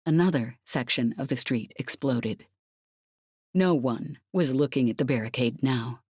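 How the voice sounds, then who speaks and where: A woman with a low-pitched voice narrates an audiobook. Her scripted reading is dramatic, and the sound is very dry with no room around it. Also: unhurried, a little mellow, slightly rough, dry recording.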